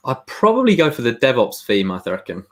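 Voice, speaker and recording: A man's voice: medium pitch, huge pitch swings, fairly quick, fairly bright tone. A man co-hosting a show, easygoing and thinking aloud as he chats. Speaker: co-host. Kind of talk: casual chat.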